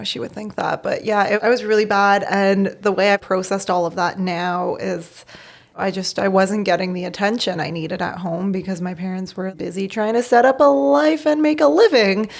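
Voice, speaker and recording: A woman whose was Bosnian tells her own story on a podcast. Her voice sits at a middle pitch with a gravelly edge, and she talks casually, laughing often and dropping in "like.". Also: steady tone, normal speed. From Bosnia, raised in Canada. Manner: chatty, frequent laughs and "like" fillers, candid. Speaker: podcast host, guest in this episode.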